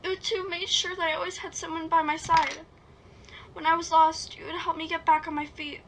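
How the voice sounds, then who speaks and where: A woman's voice: very high-pitched, flat delivery, normal speed, fairly bright tone. A woman playing a wounded, guilt-ridden teenage girl in an amateur script read, with emotional, plaintive delivery. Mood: grieving. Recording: noisy home microphone recording.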